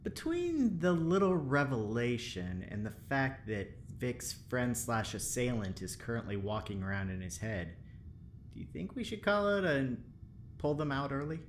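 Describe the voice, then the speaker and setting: An actor playing a nervous, chatty lab assistant in an audio drama, hesitant yet upbeat. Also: male voice, medium pitch, big pitch swings, normal speed, natural voice. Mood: concerned. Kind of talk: naturalistic audio drama acting. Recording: clear background noise.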